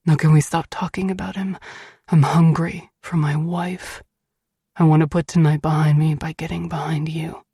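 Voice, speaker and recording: A woman's voice: low-pitched, little pitch movement, normal speed, dark tone, slightly rough, no echo, clean studio sound, full character voice. A woman voicing a brooding, protective, dangerous husband who speaks in a blunt, commanding way in an audiobook. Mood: hungry.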